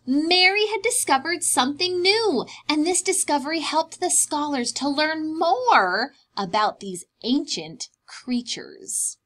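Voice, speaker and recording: A woman's voice: sits high, expressive, takes it slowly, few pauses, a bit bright. A young adult woman reading a picture book aloud as a preschool teacher, explaining things simply to young children.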